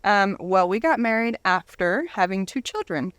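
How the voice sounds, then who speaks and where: A woman's voice: medium pitch, lively intonation, moderate pace, fairly bright tone. A woman in her thirties telling her personal story candidly as a guest in a casual interview. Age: 30s.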